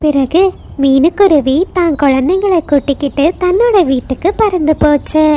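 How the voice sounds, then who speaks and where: High-voiced woman storyteller. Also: normal speed, mellow. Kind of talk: scripted children's story narration.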